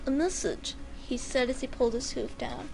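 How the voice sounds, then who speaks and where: A woman's voice: on the high side, fairly even intonation, a little fast, gravelly, poor audio. A woman giving an amateur dramatic narration of a comic fan-written story. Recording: faint background noise.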